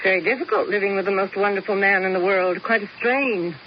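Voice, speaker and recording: A woman's voice: medium pitch, a little fast, close to an everyday voice. An actress playing a jaded, aloof middle-aged woman in a radio drama, with a dry, sarcastic manner. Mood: dry, sceptical. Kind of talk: old-time radio drama acting. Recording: muffled, noisy 1970s radio broadcast.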